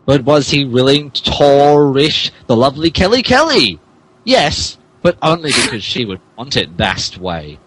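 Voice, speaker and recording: A man's voice: medium pitch, sing-song, a little slow, a bit bright, low-quality recording. A man narrating a fan fiction as a mock-dramatic podcast reading, theatrical but stumbling over the text, with asides. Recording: podcast with faint background noise.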